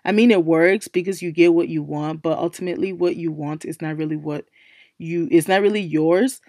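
A young woman talks through her solo podcast in a deep, low register, her voice still worn and hoarse from losing it days ago. She is chatty and emphatic, circling back to restate each point. The sound is close and dry, with no room around it. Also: moderate pace, darkish tone, no echo. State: recovering from a lost voice, speaking low. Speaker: podcast host. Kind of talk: casual solo podcast chat.

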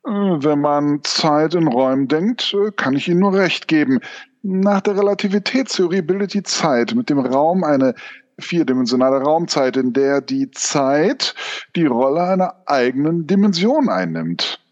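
A man's voice: fairly high pitch, lively intonation, moderate pace, lo-fi sound, natural voice. A man voicing a pedantic, philosophical burning giraffe in a surreal audio drama, hesitating like a professor as he explains. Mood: lecturing.

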